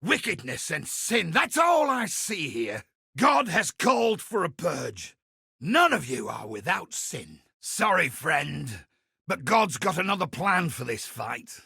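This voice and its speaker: A man voicing a zealous, wry templar priest in a video game, with a gravelly, raspy voice and a preachy, theatrical delivery. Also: fairly high pitch, very animated, slow, sharp tone, dry recording, clean studio sound, full character voice. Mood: righteous, menacing. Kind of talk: video game character voice acting.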